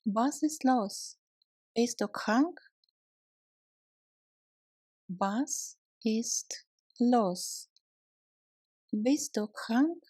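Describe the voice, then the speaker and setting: A woman narrating a language lesson, reading short scripted phrases deliberately and separating the words for learners. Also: a little high, animated, dark tone, slightly rough, no echo, studio quality.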